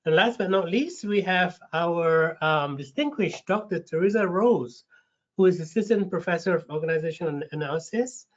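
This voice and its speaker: A man with a slight rasp from a cold hosts an online info session, friendly, with lots of ums and restarts. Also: sits high, moderate pace. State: getting over a cold, a bit raspy. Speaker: webinar host, recruitment manager. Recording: dull lo-fi webinar audio.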